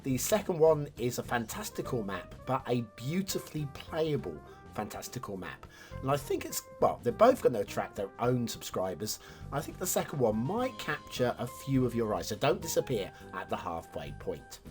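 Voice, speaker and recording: Male host, hoarse and a bit raspy, chatty and animated, mid-pitched, with soft music behind. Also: moderate pace. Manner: chatty, animated, sudden jumps in loudness. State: hoarse from a chest infection. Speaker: game map reviewer, host. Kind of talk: casual commentary over gameplay.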